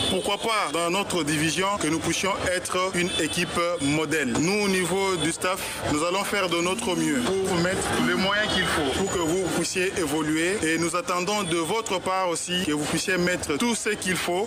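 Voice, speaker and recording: A man, a club official, formally urging young players to commit to the team. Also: sits high. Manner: formal, earnest exhortation.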